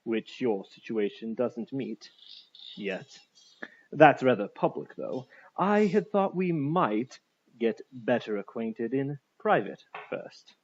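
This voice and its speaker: A man's voice: mid-range voice, big pitch swings, slow pace, slightly rough, lo-fi sound, full character voice. A man voicing a suave, menacing aristocratic army captain in an audiobook, polite, formal and mocking. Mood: silky menace. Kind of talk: audiobook character voice.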